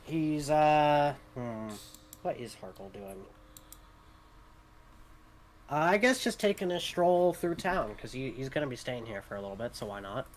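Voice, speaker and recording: A man's voice: medium pitch, sing-song, a little slow, pauses often, a little hiss or hum. A man chatting casually as a player in a tabletop game. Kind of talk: casual chat among gamers.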